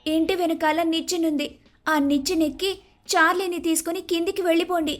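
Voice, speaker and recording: A woman playing a hot-tempered young daughter-in-law, shrill, scolding and dramatic, in an animated moral story. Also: fairly quick. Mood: bossy, anxious.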